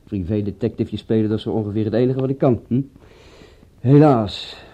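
A man's voice: fairly low pitch, a little fast, lightly acted. A man playing a shifty, flustered small-time private detective in a radio play, talking in a hesitant, fussy way and repeating himself. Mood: flustered, apologetic. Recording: muffled old radio-play recording.

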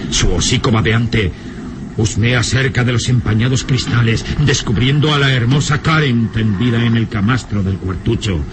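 A man's voice: mid-range voice, moderate pace, noisy background, natural voice. A man narrating an old horror radio serial in an ominous, emphatic, suspenseful style.

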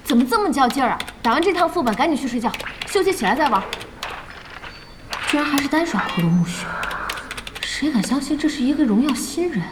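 A woman's voice: high voice, expressive, brisk, background noise. An actress playing a bossy but caring female internet cafe boss in an audio drama, exasperated and scolding. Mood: scolding, concerned. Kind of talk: Chinese audio drama acting.

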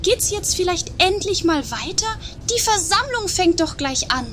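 A woman playing a haughty, quick-tempered lady guru diplomat in a comedy radio play, imperious and scolding. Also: very high voice, lively intonation, noisy background. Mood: impatient.

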